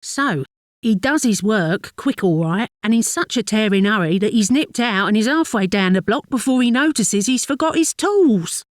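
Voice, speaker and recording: An actress playing a chatty working-class London wife in a dramatic monologue, fond and mildly exasperated, confiding in a gossipy, colloquial way with a London accent. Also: female voice, mid-range voice, animated, moderate pace, no echo, clean studio sound, lightly acted. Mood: gossipy, puzzled. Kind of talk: dramatic monologue, conversational storytelling.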